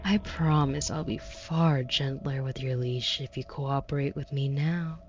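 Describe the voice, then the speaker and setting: A woman playing a flirty, menacing demon in an audio roleplay, condescending and teasing, with a breathy voice that dips into whispers. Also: very deep voice, steady tone, darkish tone, highs cut off, slight background noise. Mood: coaxing, menacing. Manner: teasing, condescending, slow deliberate delivery dropping into whispers. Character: flirty, menacing female demon taunting a caged angel.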